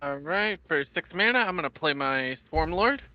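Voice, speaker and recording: A man's voice: a little high, lively intonation, moderate pace, no echo, muffled recording. A man casually announcing his plays step by step in a livestreamed card game, matter-of-fact in tone.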